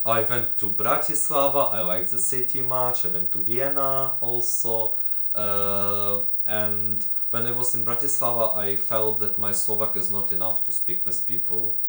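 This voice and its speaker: A man's voice: fairly low pitch, a little slow, a bit bright, echoey room. A man from Volhynia with a Ukrainian accent, a language teacher talking casually to camera, hesitant with many fillers and restarts. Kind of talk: casual multilingual vlog.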